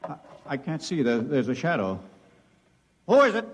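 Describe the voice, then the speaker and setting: An actor playing an excitable, bewildered scientist in a mid-century radio drama, agitated and questioning. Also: male voice, fairly high pitch, normal speed. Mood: bewildered, fearful. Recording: old radio recording with long reverb.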